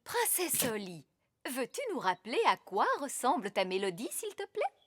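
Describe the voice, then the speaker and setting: A refined and fussy cartoon fairy queen, speaks very high with lively swings of pitch. She is polite yet bossy and very proper in her diction, with music playing behind her. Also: normal speed. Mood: polite, concerned. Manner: formal, proper, emphatic diction. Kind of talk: French cartoon dubbing.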